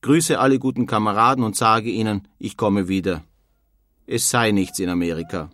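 A man's voice: on the low side, moderate pace, fairly bright tone, natural voice. An actor playing a grumbling, homesick German emigrant craftsman in a dramatised reading of a period letter. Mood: pleading, blustering. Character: disgruntled German emigrant craftsman writing home, blustering and homesick.

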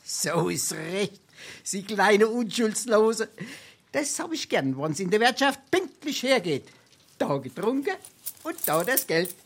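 Cheeky freeloading drinker, Darmstadt Hessian dialect, stage comedy, theatrical delivery, swooping intonation. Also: male voice, high voice, sing-song, slow, vocal fry, slightly rough, full character voice. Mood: mock-grand. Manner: theatrical, wily, grandiloquent. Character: cheeky, penniless freeloading male card player and drinker.

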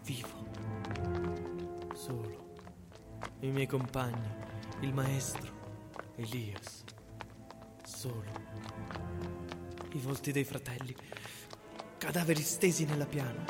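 A man's voice: medium pitch, steady tone, slow pace, long pauses, lightly acted. A man acting a desperate, haunted fugitive rebel in a fragmented, brooding radio drama monologue. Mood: shattered, grieving. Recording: music under the voice.

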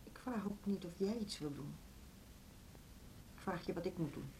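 An actress playing a beleaguered, earnest music school head in a radio play, speaking articulately. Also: female voice, lowish voice, expressive, normal speed, mellow, a little hiss or hum, lightly acted, rough recording. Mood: anxious. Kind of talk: Dutch radio play acting.

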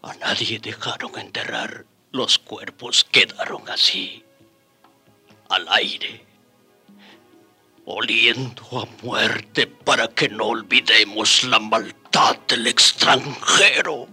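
A man's voice: low voice, sharp tone, breathy edge, exaggerated voice. A man playing a grieving, haunted survivor who narrates sorrowfully and despairs bitterly in a radio drama. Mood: haunted grief. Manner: slow, sorrowful storytelling breaking into anguished outcry. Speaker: narrator and character actor. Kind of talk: radio drama first-person narration and acting. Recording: radio drama with background music.

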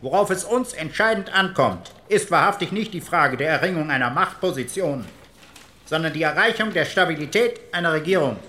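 A man's voice: fairly high pitch, expressive, fairly quick, pushed and bright. A man playing an assertive 1940s conservative delegate, delivering emphatic, formal political oratory with insistent rhetoric.

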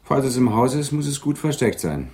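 An actor playing a casual police detective who probes with short questions in a radio drama. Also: male voice, mid-range voice, sing-song, normal speed, darkish tone, husky. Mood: casual, livelier.

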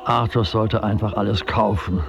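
An actor playing a cynical, brooding commentator who speaks in clipped phrases in a literary radio drama. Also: male voice, lowish voice, fairly even intonation, moderate pace, vocal fry, over music.

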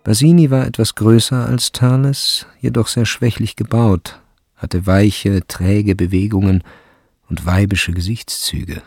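A man with a husky, gravelly voice narrating a literary radio drama from a script. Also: fairly low pitch, little pitch movement, unhurried, darkish tone, soft background music. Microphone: close, dry studio recording.

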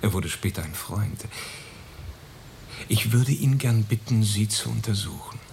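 Earnest, courteous gentleman doctor. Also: fairly low pitch, lively intonation, creaky, slight background noise. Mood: gently persuasive. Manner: measured, formal, earnest. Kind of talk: German radio play acting.

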